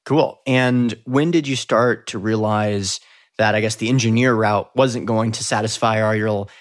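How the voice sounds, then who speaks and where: A man's voice: on the low side, fairly even intonation, a little slow. A man hosting a podcast, chatty and upbeat as he introduces and questions his guest. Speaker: podcast host. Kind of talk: casual interview hosting.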